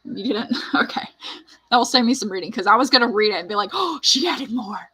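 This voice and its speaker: Casting: woman livestream host, bright voice with a husky edge, chatty and informal. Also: a little high, animated, fairly quick. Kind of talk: casual chat on a writing livestream.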